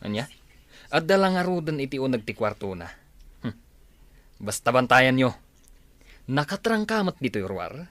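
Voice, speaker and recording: A man's voice: medium pitch, sing-song, normal speed, pauses often, a bit bright. Man acting commanding villain boss, swinging delivery. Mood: commanding, menacing. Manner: commanding, sneering. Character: scheming male villain boss, commanding and mocking. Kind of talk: Ilocano audio drama acting.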